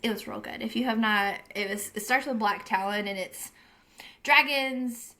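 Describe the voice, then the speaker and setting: A woman's voice: a little high, normal speed, sharp tone. A woman with a Southern American accent, co-hosting a casual book podcast and chatting with enthusiasm. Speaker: podcast co-host. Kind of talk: casual chat.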